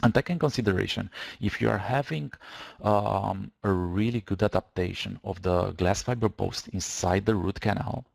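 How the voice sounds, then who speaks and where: A man's voice: lowish voice, moderate pace, creaky, breathy edge, dry recording, studio quality. A man in his thirties with a Romanian accent, Romanian his first language, giving a dental webinar lecture over slides, hesitant with many fillers and restarts. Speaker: dentist lecturer.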